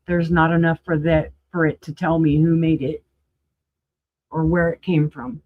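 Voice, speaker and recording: A woman running a live auction sale, chatty and enthusiastic, with a voice that is going hoarse. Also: low voice, flat delivery, normal speed, phone-quality sound. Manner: chatty, enthusiastic, rambling asides. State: losing her voice, clearing throat.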